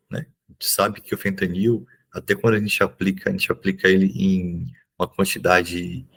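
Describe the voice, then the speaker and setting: A young man with a Bahian Brazilian accent, explaining exam questions informally as a teacher, in a halting way with frequent checking tags. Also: sits low, gravelly, slightly rough. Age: young adult. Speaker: neurology resident and exam prep teacher. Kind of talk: informal exam review lesson.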